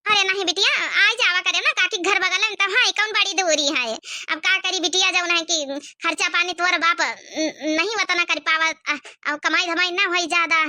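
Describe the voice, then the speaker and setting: A woman's voice: extremely high pitch, steady tone, normal speed, few pauses, sharp tone, no echo, studio quality, full character voice. A woman voicing a meek, placating old grandmother with an Awadhi accent in a cartoon comedy, plaintive and hesitant. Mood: soothing, sad. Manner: plaintive, hesitant with filler phrases.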